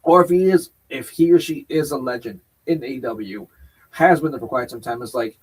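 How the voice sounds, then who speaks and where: Husky-voiced male co-host, rambling. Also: medium pitch, fairly quick, low-quality recording. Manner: loose, rambling, keeps checking with "right?". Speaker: podcast co-host. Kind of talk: casual wrestling chat show.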